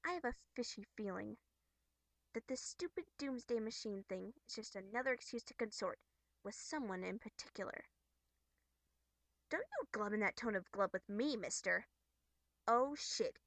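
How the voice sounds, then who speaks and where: Woman acting a bubbly, high-voiced young troll girl. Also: animated, normal speed, a bit bright, no room sound. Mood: scolding, teasing. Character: bubbly, earnest young troll girl, scolding but caring. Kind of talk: amateur character voice acting from a webcomic.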